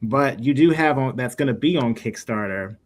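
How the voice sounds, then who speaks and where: A man's voice: mid-range voice, expressive, normal speed. A man hosting a casual livestream interview, talking informally with his guests. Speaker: podcast host and interviewer. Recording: noticeable background noise.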